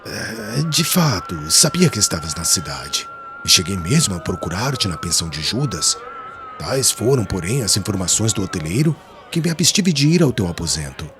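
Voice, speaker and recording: An actor playing a proud, offended Pharisee in a dramatised audiobook, haughty and incredulous, arguing in rhetorical questions. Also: male voice, on the low side, wildly swooping pitch, moderate pace, music in the background. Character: proud, offended Pharisee, scornful former comrade of a convert.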